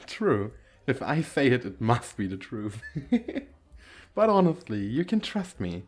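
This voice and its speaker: Playful femboy flirt played by a man for ASMR roleplay: expressive swooping pitch, slow pace, coy, effeminate, teasing. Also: breathy edge, faint music behind, full character voice. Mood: flattering, playful. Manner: lilting, effeminate, giggly, coy.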